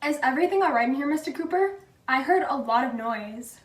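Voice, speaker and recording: A woman's voice: high voice, animated, brisk. A woman playing a concerned, polite station staffer in a 1940s radio play.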